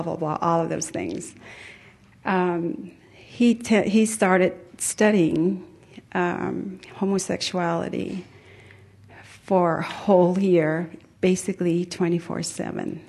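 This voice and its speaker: A middle-aged woman from Tonga giving an informal personal testimony to a group, pausing often as she goes. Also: on the low side, little pitch movement, creaky, a little mellow. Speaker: attendee sharing personal story at a conference.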